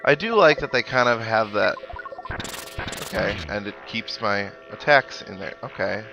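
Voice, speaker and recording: A man's voice: on the low side, unhurried, vocal fry. A man giving casual video game commentary, thinking aloud with analytical asides as he plays. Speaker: let's player. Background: video game music and sound effects.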